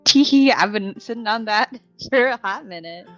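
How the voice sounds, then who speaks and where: Animated young woman, slow, slightly muffled. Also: a little high, no room sound, soft background music. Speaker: player in a tabletop roleplaying show. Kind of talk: casual tabletop game chat.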